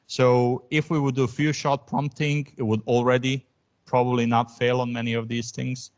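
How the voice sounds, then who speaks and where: A man's voice: medium pitch, flat delivery, moderate pace, a little mellow. A man whose first language is Croatian, giving an academic lecture in a thoughtful way, with frequent ums and restarts. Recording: dull lo-fi recording.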